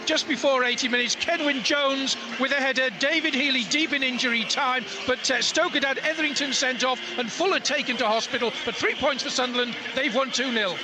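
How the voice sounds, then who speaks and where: Stadium noise, male reporter, very high pitch, live football report, score updates. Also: brisk, few pauses. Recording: outdoor stadium report, loud background noise. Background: stadium ambience.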